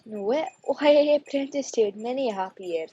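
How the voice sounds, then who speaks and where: Shaken, earnest old man, played by a woman: husky, mellow tone, radio play, slightly dull recording. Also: fairly high pitch, animated, brisk. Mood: excited, fond.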